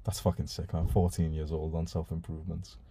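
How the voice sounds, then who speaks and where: A man's voice: rumbling low, little pitch movement, fairly quick, creaky, dark tone, husky. A young man whose first language is Urdu, hosting a casual live stream and reading out donation messages in a laid-back way, swearing casually.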